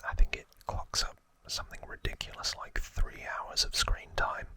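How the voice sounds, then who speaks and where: Man, ASMR host, hushed whisper, bright sibilant tone, unhurried, informal rambling trivia narration.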